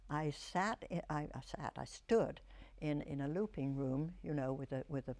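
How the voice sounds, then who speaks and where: A woman's voice: deep voice, a little mellow. Older lady actress telling old stories, stops and starts, kinda thoughtful. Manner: reflective storyteller, halting. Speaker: actress, interview guest. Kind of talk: reminiscing interview answers.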